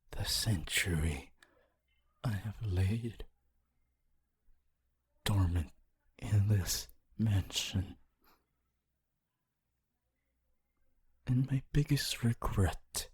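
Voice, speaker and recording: A lonely, honorable male spirit acted out by a man speaks in a very breathy, hushed voice. It is low and very slow, with halting, intimate phrasing. He sounds tender and full of regret, as in an ASMR roleplay. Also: full character voice. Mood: wistful.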